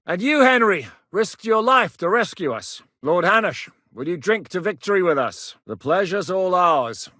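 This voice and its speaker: A blunt, battle-hardened mercenary commander for a video game, middle-aged and coarse-spoken, with a voice sitting a little higher than most men's. He talks bluntly with a dry wit. The recording is close and dry, with no room sound. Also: big pitch swings, moderate pace, hardly any pauses, sharp tone, dry recording, exaggerated voice. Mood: formal, grateful. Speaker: military commander. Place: studio booth. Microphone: close studio mic.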